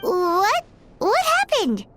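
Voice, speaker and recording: A woman voicing a small, excitable, childlike fairy companion in an anime game, squeaky and full of wide-eyed exclamations. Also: drawn-out words, noisy background, exaggerated voice. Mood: astonished. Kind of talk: anime video game voice acting.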